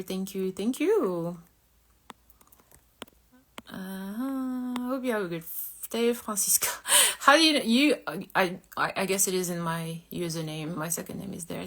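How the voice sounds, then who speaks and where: A woman's voice: medium pitch, expressive, a little slow, a bit bright. A woman in her thirties, from Korea, chatting candidly and a bit ramblingly with viewers on her live stream. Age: 30s. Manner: candid, rambling, self-deprecating. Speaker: model and livestream host. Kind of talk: casual live-stream chat.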